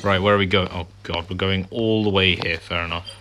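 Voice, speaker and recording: A woman's voice: very deep voice, fairly even intonation, brisk, background noise. A woman giving casual, sceptical playthrough commentary as a gaming video host, with muttered asides. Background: game music.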